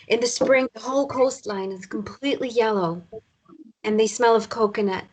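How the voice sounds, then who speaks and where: A woman's voice: on the high side, lightly acted, poor audio. A woman playing a wistful, dreamy mother by the coast in a naturalistic romance drama read, understated and reflective.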